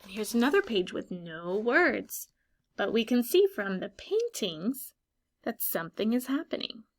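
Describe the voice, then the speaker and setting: A woman with a fairly high voice leads a picture-book read-aloud for young children. She speaks like a teacher, expressively and clearly instructive, drawing out key words and putting questions to her listeners with pauses for them to think. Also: sing-song.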